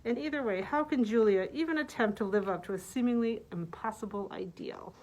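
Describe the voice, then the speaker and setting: A woman's voice: medium pitch, fairly quick, a little mellow, low-quality recording. A woman librarian recommending books, partly reading their summaries aloud. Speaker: librarian presenting book picks.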